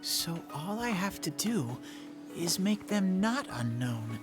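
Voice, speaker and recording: A woman voicing a small, male talking bear creature in a video game, speaking earnestly and haltingly, often apologetic. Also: fairly low pitch, sing-song, over music. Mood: earnest resolve.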